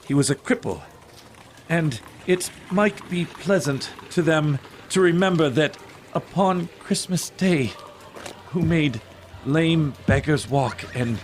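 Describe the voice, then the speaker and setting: An actor playing a kindly, poor clerk and father in an audio drama, tender, halting and earnest. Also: a little high, slight background noise.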